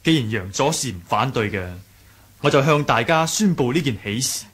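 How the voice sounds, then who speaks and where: Earnest, husky young sect leader. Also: male voice, medium pitch, animated, moderate pace, a bit bright. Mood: gentle, persuasive. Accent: Hong Kong Cantonese. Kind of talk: 1980s radio drama acting.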